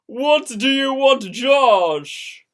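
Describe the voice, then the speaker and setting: A jealous, wounded teenage girlfriend as a female reader acts her out, in an amateur story reading. Her voice swings widely in pitch as she flings out each accusation, never rushing a word. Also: expressive, exaggerated voice. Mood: angry, accusing. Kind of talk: dramatic fan fiction reading.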